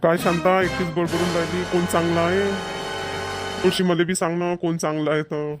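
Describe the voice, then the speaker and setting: A man's voice: a little high, level tone, normal speed, music in the background, close to an everyday voice. A man voicing an agreeable, easygoing middle-aged farmer husband in a cartoon comedy, with a rural Maharashtrian Varhadi accent. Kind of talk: Marathi cartoon comedy voice acting.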